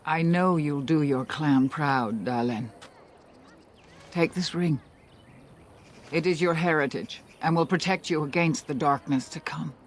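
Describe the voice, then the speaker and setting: A man's voice: on the high side, unhurried, pauses often, a little hiss or hum, lightly acted. An actor, a man by voice, playing a solemn, caring elven clan leader in a fantasy game, with earnest, formal speech. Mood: tender. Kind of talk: video game cutscene acting.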